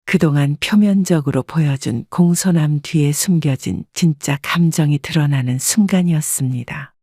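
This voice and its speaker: A woman narrating a scripted first-person family drama in a calm, even storytelling manner. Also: deep voice, moderate pace, no echo, studio quality.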